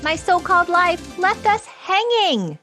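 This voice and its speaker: A woman's voice: extremely high pitch, very animated, measured pace, bright, forceful tone, faint music behind. A woman in her forties co-hosting a podcast with informal, emphatic, chatty delivery. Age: 40s. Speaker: podcast co-host. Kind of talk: casual chat with a friend, some scripted intro.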